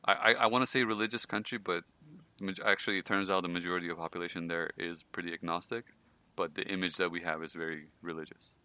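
Low-voiced man telling stories on a podcast. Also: steady tone, fast, pushed and bright, clean tone. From Iran. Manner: storytelling, many fillers and laughs. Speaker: podcast host. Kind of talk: casual podcast conversation.

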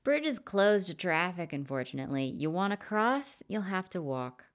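A woman voicing a laid-back man guarding a bridge, speaking calmly in a casual drawl. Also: high voice, normal speed, voice pushed up.

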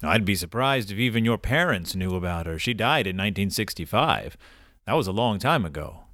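An actor playing an elderly widowed grandfather in an audio drama, courteous and old-fashioned, wistful with a gruff, wry edge. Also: fairly low pitch, animated, fairly quick, fairly bright tone, no room sound, clean studio sound. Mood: wistful, reflective. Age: older.

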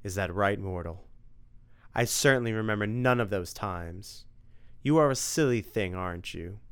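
Guy doing a big hammy vampire count, gloaty, shouty, smooth voice. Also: normal speed, natural voice. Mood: gloating. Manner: booming, melodramatic outbursts.

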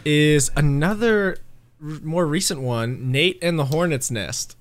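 A congested man chatting casually as a podcast co-host, telling stories with animated energy. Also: on the high side, unhurried. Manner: chatty, animated storytelling with laughs. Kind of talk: casual chat over a call. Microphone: remote call mic.

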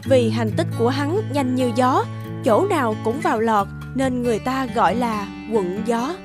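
A woman's voice: fairly high pitch, moderate pace. A woman narrating a scripted folk tale as a storyteller. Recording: plucked-string music behind.

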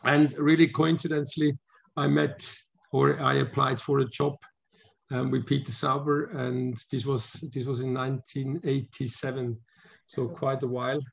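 A man's voice: mid-range voice, little pitch movement, moderate pace, creaky. A man in his fifties from Switzerland, a guest answering interview questions in a thoughtful way with many restarts. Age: 50s. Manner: thoughtful, frequent restarts and "um"s, chuckles easily. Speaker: guest, motorsport sporting director.

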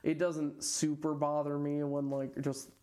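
An afab podcast guest with a fairly low voice, talking casually in a hesitant, rambling way full of fillers and restarts, often laughing mid-sentence. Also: female voice, little pitch movement, a little slow, mellow. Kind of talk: casual conversation.

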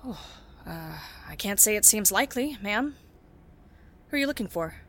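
An actress playing a private detective who narrates in a deadpan film noir style. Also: female voice, mid-range voice, moderate pace. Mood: wry. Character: hard-boiled private eye narrator, wry and dry.

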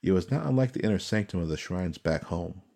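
Deep gravelly man narrating dark fantasy. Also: animated. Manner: solemn, measured, suspenseful. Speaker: audiobook narrator. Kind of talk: dramatic scripted fantasy narration.

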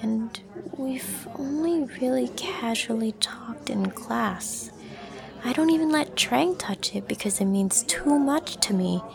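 A woman playing a guarded, unsure young student in an audio drama, soft-spoken and hesitant, often trailing into breathiness. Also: a little high, slow, gravelly, a crowd in the background. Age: young adult. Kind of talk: acted audio drama dialogue.

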